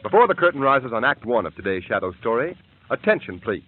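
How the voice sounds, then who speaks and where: A man's voice: medium pitch, big pitch swings, quick pace. A man serving as announcer, delivering a commercial and narration in a formal, emphatic old-time radio manner. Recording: tinny old radio broadcast with music.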